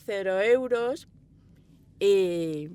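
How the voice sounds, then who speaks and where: A woman with an accent from Spain, an audio fiction director telling rambling, animated stories in a casual interview. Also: medium pitch, drawn-out words, clean tone. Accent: Spanish (Spain). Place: small recording booth.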